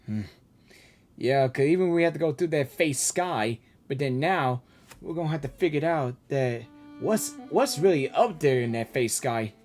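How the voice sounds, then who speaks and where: A woman streams her reactions to a video game in casual, informal speech. Her pitch moves around a lot as she talks back to the characters, with faint music behind her. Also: lively intonation, normal speed, darkish tone.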